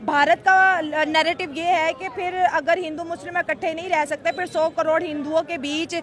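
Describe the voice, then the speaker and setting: A woman's voice: very high voice, steady tone, moderate pace, fairly bright tone. A woman interviewing passers-by on a busy city street, asking pointed, leading questions. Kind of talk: street vox pop interview. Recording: street crowd behind.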